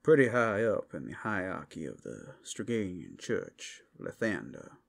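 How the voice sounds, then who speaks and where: Man acting a low, sly, flirtatious werewolf. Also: sing-song, unhurried, vocal fry, full character voice. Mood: casual, cautioning. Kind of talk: ASMR roleplay acting.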